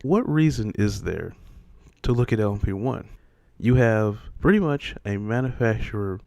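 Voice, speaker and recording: A man's voice: on the low side, expressive, normal speed, creaky, darkish tone, dry recording, studio quality. A man hosting an opinion video, talking casually and in an exasperated way, with plenty of rhetorical questions. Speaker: host of a motorsport opinion video.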